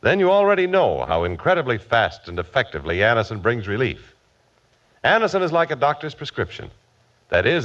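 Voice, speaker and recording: A man's voice: medium pitch, fairly bright tone. A man announcing an old radio commercial in a formal, emphatic sales delivery. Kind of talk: 1940s radio advertisement read. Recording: muffled old radio recording.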